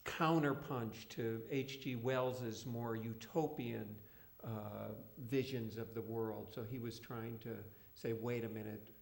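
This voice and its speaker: A man informally explaining literary background at a live question session, measured and a little hesitant, in a roomy space. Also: medium pitch, steady tone, gravelly. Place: live event room with audience. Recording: roomy live event sound.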